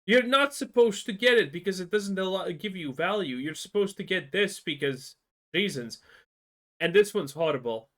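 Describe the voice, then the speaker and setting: A man's voice: high voice, pushed and bright. A man giving blunt, scornful reaction commentary on a video, ranting casually.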